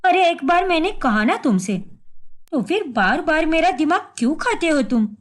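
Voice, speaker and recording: A woman voicing a scheming, sharp-tongued young wife in a cartoon story, speaking in a theatrical, defensive way. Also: high-pitched, very animated, moderate pace. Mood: scornful.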